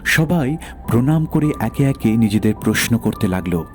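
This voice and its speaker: A man's voice: medium pitch, animated, normal speed, soft background music. A man narrating a first-person horror audio story in a dramatic storytelling style.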